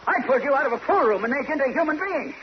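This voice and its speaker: An actor playing a hard-nosed, cajoling old American fight manager in an old-time radio crime drama. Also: male voice, very high-pitched, animated, rapid-fire, crowd noise. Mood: pleading. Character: scheming old fight manager, exasperated father figure. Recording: dull, hissy old radio recording.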